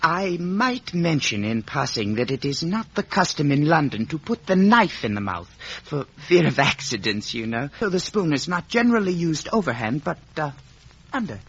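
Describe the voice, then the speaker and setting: Posh polite young victorian gent, old radio drama, bright animated voice, good humoured, lo-fi. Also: male voice, a little high, moderate pace, slightly rough, exaggerated voice. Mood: gently amused. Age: young adult. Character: genial, well-bred young Victorian gentleman. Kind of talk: 1950s radio drama acting. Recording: muffled vintage radio recording.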